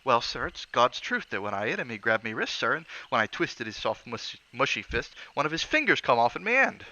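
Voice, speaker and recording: A man's voice: mid-range voice, big pitch swings, brisk, pushed and bright, pitched above the performer's own voice, exaggerated voice. A man voicing a young, nervous male bellboy with a Cockney accent who says "sir" constantly and drops his g's. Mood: uneasy. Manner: dropped g's, "sir" after every phrase. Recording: dull lo-fi audio.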